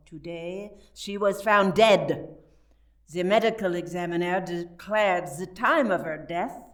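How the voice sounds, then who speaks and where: A French police inspector in a radio play, acted by a woman, formal and methodical. The delivery is slow and measured, every question set out deliberately. The voice sits a little way from the microphone. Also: medium pitch, distant mic.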